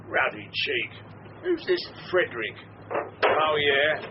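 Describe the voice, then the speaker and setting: Grumpy, sardonic British police inspector. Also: male voice, on the high side, expressive, takes it slowly. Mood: grumbling. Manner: grumbling, sarcastic. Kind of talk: radio drama acting. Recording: dull, noisy radio recording.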